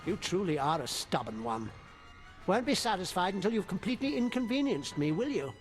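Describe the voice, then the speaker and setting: An actor playing a smug, theatrical Sith lord in a video game, with a mocking, pompous delivery. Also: male voice, sits high, sing-song, fairly quick. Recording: background music under the voice.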